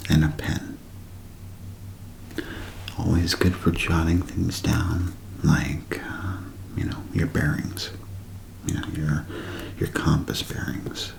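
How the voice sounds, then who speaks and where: A man doing a whispered ASMR show-and-tell, speaking in a hushed, unhurried way with gentle pauses. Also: rumbling low, vocal fry, poor audio. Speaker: ASMR creator.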